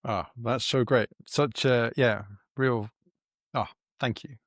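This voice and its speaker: A man's voice: medium pitch, steady tone, slow, no room sound, clean studio sound. A man hosting an interview podcast, giving brief, encouraging prompts. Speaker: podcast host.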